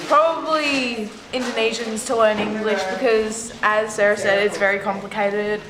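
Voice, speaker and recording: A woman's voice: medium pitch, steady tone, moderate pace. A teenage girl answering an interview question casually with hesitant fillers. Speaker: student interviewee. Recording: echoey classroom with chatter.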